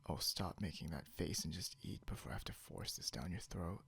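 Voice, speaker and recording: Very gravelly, low, slow man acting a possessive captor. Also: expressive, a bit bright, exaggerated voice. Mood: teasing, exasperated. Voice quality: gravelly, often breaking into whisper. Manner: halting, muttering, condescending. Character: possessive non-human male captor, grudgingly caring, teasing. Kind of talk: ASMR roleplay, intimate second-person acting. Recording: noticeable background hiss or hum.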